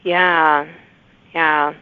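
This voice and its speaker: A woman's voice: deep voice, flat delivery, very slow, smooth, no echo. A woman interviewer speaks in a conversational, encouraging manner as a podcast host. Her audio is close and dry, with a slightly dull, lo-fi edge.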